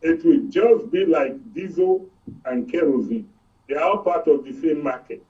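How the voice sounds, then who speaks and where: High-pitched Nigerian man, distant dull sound. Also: very animated, measured pace. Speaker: oil and gas expert guest. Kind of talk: explanatory political and economic commentary.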